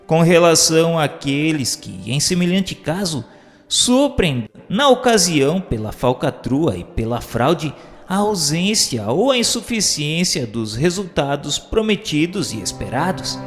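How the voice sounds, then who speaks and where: Expressive Brazilian male narrator over music. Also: on the high side, measured pace. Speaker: narrator reading a book aloud. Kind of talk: formal scripted narration, audiobook-like.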